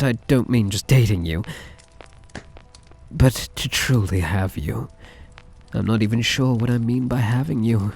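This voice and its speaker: A soft yandere mafia heir, acted by a man in an intimate ASMR roleplay, speaking slowly with a breathy, husky edge. His delivery rises and dips expressively as he coaxes, tender and possessive. Music plays quietly behind him. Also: mid-range voice, exaggerated voice. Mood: yearning, possessive. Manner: tender, coaxing, softly possessive.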